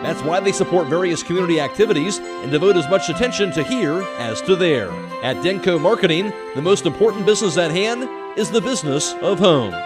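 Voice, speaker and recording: A man's voice: high voice, lively intonation, brisk, over music. A male announcer giving a scripted read of a local radio commercial.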